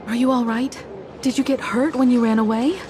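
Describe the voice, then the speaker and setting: An actress playing a composed, caring and analytical young woman in a video game, speaking in a measured, earnest, articulate way. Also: on the high side, steady tone, background noise, natural voice. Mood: concerned, gentle. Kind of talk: video game voice acting, expository dialogue. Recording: studio voice over game music.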